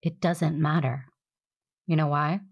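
A woman playing a steadfast, protective and tender sister in an audio drama, speaking earnestly and with measure in a husky, creaky voice. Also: sits low, steady tone, unhurried, muffled recording, exaggerated voice. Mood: reassuring.

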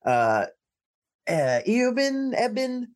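A man co-hosting a podcast in casual chat, easygoing and quick to laugh, with plenty of fillers. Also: very high voice, huge pitch swings. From Central Illinois. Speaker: podcast co-host.

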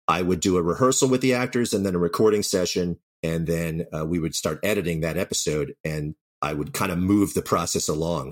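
A man speaking as an interview guest, a writer reflecting candidly and anecdotally on his work. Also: deep voice.

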